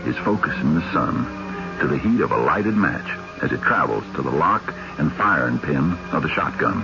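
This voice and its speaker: An actor playing a solemn, commanding sleuth in a radio drama, speaking with deliberate, preacher-like certainty. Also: male voice, a bass voice, animated, vocal fry, music in the background, exaggerated voice. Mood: intense, solemn. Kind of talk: old-time radio drama acting. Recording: muffled radio recording with faint hiss.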